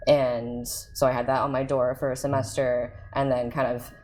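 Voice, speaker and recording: A young transmasculine guest talking reflectively in a casual podcast conversation, with many fillers and restarts. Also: male voice, medium pitch, flat delivery, normal speed, a little mellow, a little hiss or hum, low-quality recording. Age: young adult.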